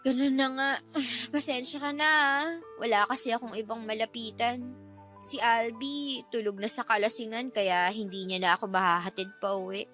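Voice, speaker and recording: Actress as a high, bright young Filipina. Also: female voice, normal speed, faint music behind, close to an everyday voice. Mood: tipsy, pleading. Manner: expressive, colloquial banter. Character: feisty, teasing young Filipina in love. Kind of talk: radio drama acting.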